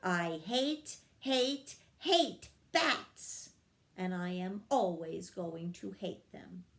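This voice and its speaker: A woman's voice: on the low side, drawn-out words, fairly bright tone, full character voice. A woman voicing a small, feisty young girl fairy who is boastful and defiant, in a children's storybook read-aloud. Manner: boastful, emphatic.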